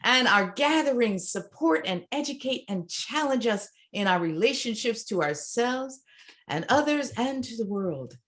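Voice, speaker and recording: A woman welcoming a congregation to a church service, speaking in deliberate, separated phrases. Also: fairly high pitch, expressive, fairly bright tone. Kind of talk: warm spoken congregational welcome.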